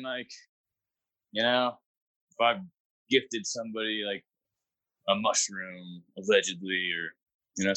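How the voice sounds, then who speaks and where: Laid-back man with a bright voice. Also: on the low side, no echo, poor audio. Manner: laid-back, rambling, filler-heavy. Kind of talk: casual chat.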